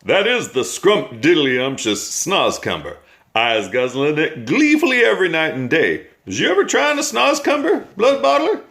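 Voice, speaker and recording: A man doing a bouncy, high-voiced friendly giant. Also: wildly swooping pitch, normal speed, vocal fry, fairly bright tone, exaggerated voice. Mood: cheerful coaxing. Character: big friendly male giant, kindly and sly.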